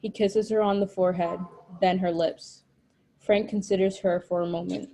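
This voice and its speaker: A woman's voice: fairly low pitch, steady tone, moderate pace, warm tone, slight background noise, low-quality recording. A woman narrating stage directions from a screenplay at a table read.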